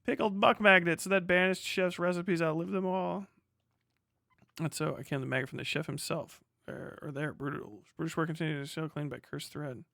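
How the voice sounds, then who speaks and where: A man's voice: on the high side, steady tone, moderate pace, vocal fry, bright, forceful tone, dry recording, studio quality. An American man chatting casually on a livestream while gaming, with irreverent, sarcastic and profane commentary. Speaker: live streamer commenting while playing a video game.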